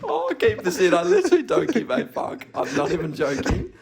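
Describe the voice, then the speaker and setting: A man's voice: high voice, wildly swooping pitch, a little fast, a loud crowd. A young man co-hosting a podcast, chatting casually in a blunt, teasing way with lots of swearing. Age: young adult. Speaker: podcast co-host. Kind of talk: casual chat between friends.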